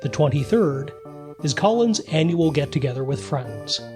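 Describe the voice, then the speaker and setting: A man's voice: on the high side, lively intonation, normal speed. A man narrating a radio play as a scripted storyteller, speaking directly to the listener. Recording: music behind the voice. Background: music.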